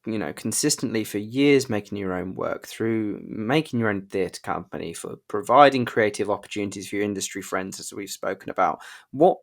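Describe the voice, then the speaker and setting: Effusive man with a lowish voice. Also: normal speed, gravelly, few pauses. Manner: effusive, encouraging. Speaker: interview host. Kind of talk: informal arts interview.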